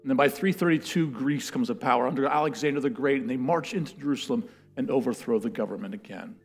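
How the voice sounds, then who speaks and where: A man in his thirties preaching from a church stage, telling history with lively, building emphasis. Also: medium pitch, fast talker, slightly rough, soft background music. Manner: animated storytelling, building to loud chanted quotes. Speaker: pastor. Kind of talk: sermon. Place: church stage with a lectern, large indoor room.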